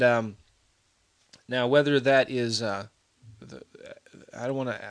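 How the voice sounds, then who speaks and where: Man, middle-aged, casual video update, rambling, filler-heavy, mid-pitched voice. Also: a bit bright. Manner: rambling, many fillers and restarts. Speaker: real estate investor.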